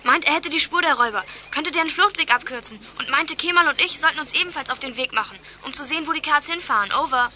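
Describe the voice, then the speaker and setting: A woman's voice: high voice, fast, few pauses, pushed and bright, slight background noise. A young female actor playing a spirited, earnest teenage girl detective in a 1980s radio play. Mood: urgent, reporting. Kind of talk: 1980s German youth radio play acting.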